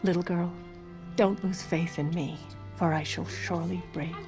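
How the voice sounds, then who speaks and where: A low-pitched, grand woman's voice from an actress in an audio drama. She is a sardonic, self-important former First Lady narrating her own memoirs with theatrical flourish. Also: steady tone, moderate pace, music in the background, natural voice. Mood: grand, solemn.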